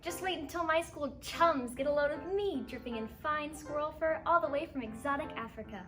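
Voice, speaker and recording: A woman's voice: high voice, fairly quick, fairly bright tone, distant mic, faint music behind. A woman playing a snooty, affected, spoiled little rich girl in an old-time radio advertisement. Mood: smug.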